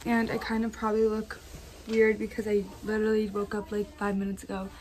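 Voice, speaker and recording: A woman's voice: medium pitch, flat delivery, a little fast, darkish tone. A young woman chatting upbeat to her phone camera as a vlogger, outdoors and in a ski lodge. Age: young adult. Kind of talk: casual vlog narration. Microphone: handheld phone camera.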